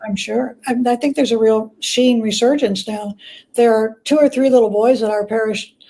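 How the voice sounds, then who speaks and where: A woman speaking as a guest author in a casual interview, gentle and agreeable in manner. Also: on the high side, normal speed, low-quality recording.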